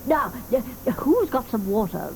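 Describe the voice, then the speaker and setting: A bossy, grabby old grandmother in an audio drama, her high voice lively and bouncing. She starts lines with "uh" and then insists on getting her way. A faint hiss hangs behind her. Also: unhurried, poor audio. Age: older. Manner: bossy, hesitant with "uh"s.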